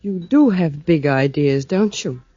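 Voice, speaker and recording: A woman's voice: low voice, expressive, a little slow, mellow, husky, heavily acted. An actress playing a cool, guarded married woman with a criminal past in an American old-time radio drama, speaking in a clipped, sardonic, world-weary way. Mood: cool, defiant. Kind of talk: 1940s radio drama acting. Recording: dull old-time radio recording with music.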